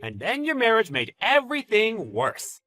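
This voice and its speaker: A man's voice: high-pitched, wildly swooping pitch, moderate pace, bright, forceful tone, no room sound, soft background music, voice pushed up, exaggerated voice. A man playing a smug, bullying boss in a dramatized story, with a taunting, slangy, exclamatory delivery. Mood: scolding, contemptuous. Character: smug bullying male boss, gloating and contemptuous. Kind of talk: dramatized story narration with character voices.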